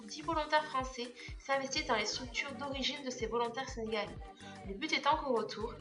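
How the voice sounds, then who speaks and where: A woman's voice: on the high side, sharp tone. A woman reading a scripted narration as the narrator of a project presentation. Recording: roomy echo, faint music underneath.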